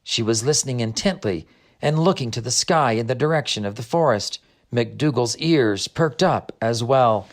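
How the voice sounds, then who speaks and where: A man reads a storybook aloud as narrator. His voice sits at a middle pitch, and his delivery is measured and expressive. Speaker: narrator, author reading own book.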